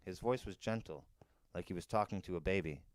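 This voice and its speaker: A man's voice: low-pitched, brisk, a little hiss or hum. A man reading a novel aloud as a first-person storyteller. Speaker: narrator, podcast storyteller.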